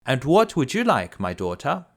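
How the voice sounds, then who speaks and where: A man's voice: medium pitch, huge pitch swings, moderate pace, creaky, fairly bright tone, slightly rough, exaggerated voice. A man voicing a kindly, meek merchant father in a children's fairy tale, in a mild, obliging manner.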